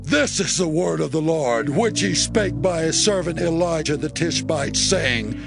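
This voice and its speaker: A man's voice: on the high side, expressive, measured pace, vocal fry, music in the background. An actor playing a fierce, commanding warrior king in a scripture audio drama, with imperious, declamatory delivery. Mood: grim, solemn.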